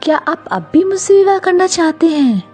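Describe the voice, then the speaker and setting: Woman playing an anxious gentle cartoon princess, soft-toned. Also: extremely high pitch, sing-song, normal speed, soft background music, voice pushed up. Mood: hesitant, sad. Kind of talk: Hindi cartoon fairy-tale dialogue.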